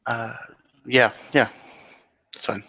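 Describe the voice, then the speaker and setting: A man playing a brooding, preoccupied, wistful character in improvised roleplay, musing in halting phrases. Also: medium pitch, little pitch movement, vocal fry, pushed and bright, full character voice, poor audio.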